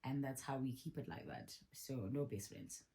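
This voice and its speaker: A woman, chatting casually as she answers viewer questions in a vlog. She talks in a relaxed way and repeats herself for emphasis. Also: very deep voice, normal speed, creaky. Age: 30s. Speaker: vlogger.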